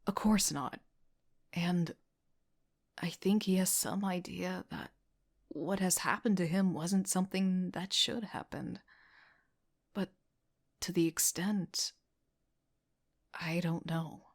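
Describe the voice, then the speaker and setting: A woman playing a young team leader who narrates an audio drama in a reflective, softly breathy, introspective way. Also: lowish voice, slow, long pauses, no room sound, studio quality, exaggerated voice. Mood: subdued.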